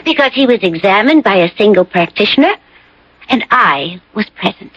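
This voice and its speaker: An actress playing a suspicious, insistent official of a dystopian future, urgent and formally clipped, in radio drama style. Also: female voice, mid-range voice, lively intonation, moderate pace, fairly bright tone. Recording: dull, dry old radio recording.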